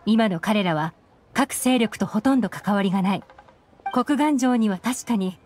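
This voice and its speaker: An actress playing a composed, shrewd young woman investigator in a game, explaining things in a measured way. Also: on the high side, darkish tone. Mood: analytical, assured. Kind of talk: Japanese game voice acting. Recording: game music behind the voice. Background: background game music.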